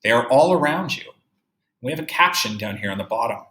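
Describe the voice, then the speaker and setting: A man's voice: mid-range voice, animated, a little fast, sharp tone, echoey room, rough recording. A man teaching young children in a read-aloud lesson, explaining step by step with emphasis and checking in as he goes. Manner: instructive, emphatic, checking understanding with tag questions. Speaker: third-grade teacher.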